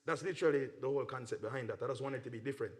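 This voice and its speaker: This is a Jamaican man talking casually as a music artist being interviewed. His pitch sits in an ordinary middle range. He speaks in slangy, emphatic phrases and keeps dropping in "you know" as he makes his points. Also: racing.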